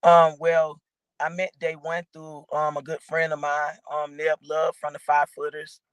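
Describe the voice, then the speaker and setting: A woman from Georgia with a raspy voice, a guest rapper rambling casually with lots of fillers. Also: low-pitched, little pitch movement, a little slow, no echo, rough recording. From Augusta, Georgia, raised in Atlanta. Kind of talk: casual interview chat.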